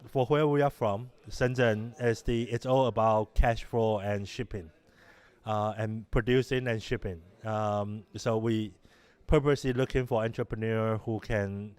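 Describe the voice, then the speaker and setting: Halting middle-aged man from Taiwan. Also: lowish voice, fairly even intonation, a little mellow, clear, resonant tone. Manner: halting, many restarts and fillers. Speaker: interviewed innovation lab director. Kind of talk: conversational interview answers.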